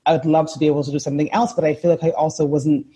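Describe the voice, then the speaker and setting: A woman from Barbados in her thirties, her voice mellow and slightly husky. She speaks as a podcast guest, thoughtful and unhurried, restarting phrases now and then. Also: deep voice, steady tone. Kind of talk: casual conversation.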